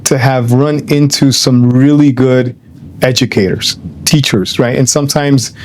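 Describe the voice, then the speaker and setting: An older man from Ecuador, answering an interviewer's questions in a reflective, unhurried way. He pauses with lots of "um" and "you know", restarts his phrases, and slips Spanish words into his English. His pitch sits in the middle range for a man. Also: fairly even intonation, a little mellow. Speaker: guest.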